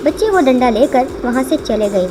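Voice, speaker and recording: A woman narrates a children's story from a script. Her voice is high in pitch. Music plays clearly behind her. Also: lively intonation, normal speed.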